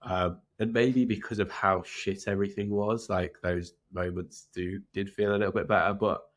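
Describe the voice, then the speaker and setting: A man's voice: low voice, fairly even intonation, moderate pace. A man with a congested, nasal voice, co-hosting a casual, laid-back football podcast chat. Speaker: podcast co-host.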